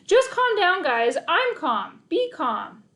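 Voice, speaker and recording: A woman voicing a flustered, excitable young dog walker in a children's storybook, pleading and calling out in exclamations. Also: very high-pitched, slow pace, voice pushed up, heavily acted. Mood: exasperated pleading.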